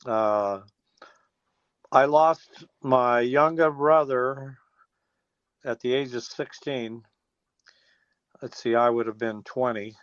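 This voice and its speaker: Male, 60s, American. Folksy, meandering interviewee, mid-pitched, over a dull phone connection. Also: smooth, dry recording. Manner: folksy, rambling with trailing restarts. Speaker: interview guest, teacher and former air traffic controller. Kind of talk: casual phone interview, reminiscing. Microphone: telephone.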